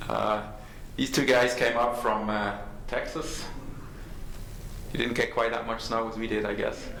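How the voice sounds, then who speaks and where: A man giving informal, halting introductions at a company event, self-deprecating and full of fillers. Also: medium pitch, level tone, vocal fry. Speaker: company host introducing guests. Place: large conference room. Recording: echoey room, faint noise.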